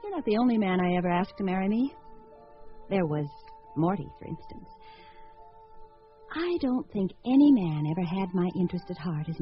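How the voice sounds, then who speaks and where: A woman acting in a radio drama as a wry, fluttery entertainer who muses aloud about her failed proposals. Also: fairly low pitch. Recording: dull lo-fi radio sound, faint music.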